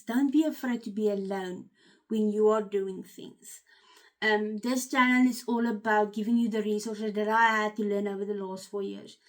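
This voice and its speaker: An Afrikaans-accented woman with a fairly high voice, speaking earnestly and candidly as a life coach. She talks in a personal, unscripted way, with frequent fillers and restarts. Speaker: life coach and channel host. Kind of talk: personal motivational talk to camera.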